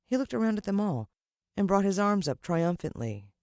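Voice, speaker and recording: Lively American woman narrating a story. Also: medium pitch, normal speed, no echo, studio quality. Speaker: audiobook narrator. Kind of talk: expressive scripted children's story narration.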